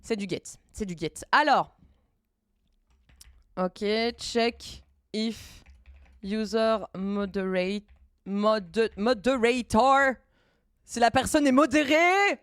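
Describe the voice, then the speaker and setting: A woman streamer chatting casually while she codes live, thinking aloud in halting bits and dropping in English coding terms. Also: a little high, clean tone, no echo, clean studio sound. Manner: thinks aloud, halting, many fillers and restarts.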